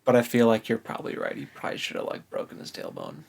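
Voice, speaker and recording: A man's voice: mid-range voice, huge pitch swings, fast talker, vocal fry. A man co-hosting a book podcast, recapping in a casual, conversational way.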